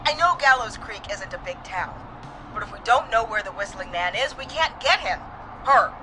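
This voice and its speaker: A woman's voice: mid-range voice, animated, normal speed, music in the background, lightly acted, low-quality recording. A woman playing a confident, folksy small-town police deputy, speaking with casual resolve in a dramatic scene. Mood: brisk, determined. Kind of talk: dramatic game voice acting.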